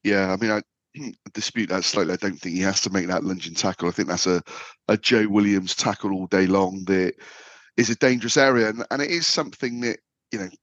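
A man with a low voice talks football in a relaxed podcast chat. He fills his sentences with "um" and restarts. The sound is dry and close. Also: a little fast, dry recording, dull audio. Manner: chatty, many fillers and restarts. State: slight man flu. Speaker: podcast co-host.

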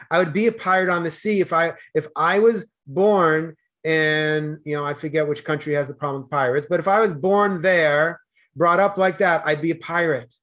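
Emphatic Boston man, fairly high voice. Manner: earnest, emphatic, gets loud when animated. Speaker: guest. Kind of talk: casual interview chat.

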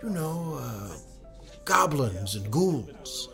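An actor playing an affable, glib radio network executive in an audio drama, with a breezy, casual manner. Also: male voice, medium pitch, very animated, very slow, gravelly, bright, forceful tone, soft background music, heavily acted.

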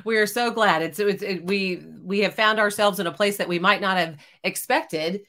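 A woman in her fifties from Texas, a former news anchor speaking as a guest, halting at times and repeating words. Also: mid-range voice, pushed and bright. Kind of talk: casual interview conversation.

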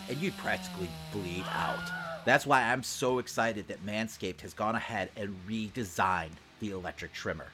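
A man's voice: on the low side, a bit bright. A middle-aged man co-hosting a casual podcast, chatting in a joking, loose way. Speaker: podcast co-host. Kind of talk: casual nerd culture podcast chat.

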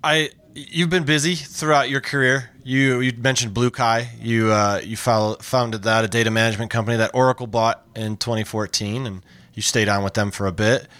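A man hosting an interview, with a middle-pitched voice and a friendly, casual way of asking questions. The sound is dry and close, with almost no room around it. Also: fairly even intonation, normal speed, a bit bright, clean tone, no room sound. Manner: friendly, brief acknowledgments, some restarts. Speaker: podcast host, interviewer.

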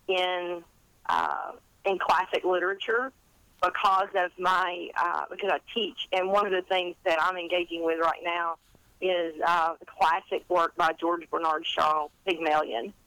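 Woman in her fifties, bright forward voice, animated rambling storyteller, dry close sound, phone-like line. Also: fairly low pitch, a little slow, no room sound. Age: 50s. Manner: animated, rambling, self-deprecating. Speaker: guest author and college English teacher. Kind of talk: chatty interview storytelling.

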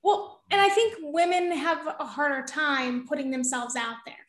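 A young woman with a high-pitched voice, a podcast guest talking casually and encouragingly, laughing often. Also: normal speed, roomy sound. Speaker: podcast guest, real estate investor. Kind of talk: casual interview conversation.

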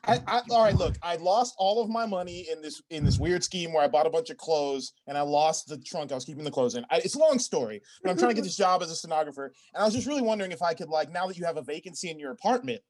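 A man playing a high-voiced, broke, pushy stenographer. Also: expressive, fast talker, few pauses, a little mellow. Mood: sheepish pleading. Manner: rambling, oblivious, pleading. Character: broke, pushy male stenographer seeking a roommate. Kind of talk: improvised comedy sketch.

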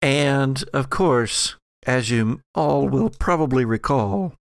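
A man narrating a tabletop horror game as game master, setting scenes theatrically with deliberate pauses. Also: mid-range voice, dry recording, studio quality. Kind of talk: narrating an actual-play horror roleplaying game.

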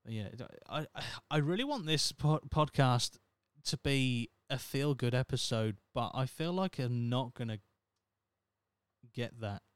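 A man's voice: mid-range voice, lively intonation, measured pace, clear, resonant tone, dry recording. A thirty-year-old man, rambling on his solo podcast in a wry, self-mocking way with plenty of swearing. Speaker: podcast host. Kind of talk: casual solo podcast monologue.

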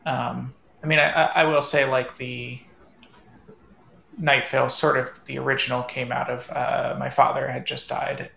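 A man talking casually as a writer on a panel, halting and matter-of-fact, in a roomy, slightly noisy recording. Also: medium pitch, flat delivery, creaky, bright, forceful tone. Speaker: panel guest, audio drama writer. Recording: roomy, faint background noise.

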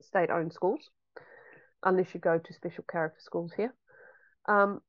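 A woman's voice: on the low side, fairly even intonation, normal speed, dry recording, muffled recording. A woman, a homeschooling mother chatting reflectively in an interview with frequent ums. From New Zealand. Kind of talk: casual interview chat.

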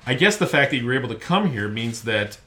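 Middle-aged guy hosting a home podcast, bright lively voice, rambly and self-mocking. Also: mid-range voice, quick pace, a little hiss or hum. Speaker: podcast host. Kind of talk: casual chat. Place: home recording room.

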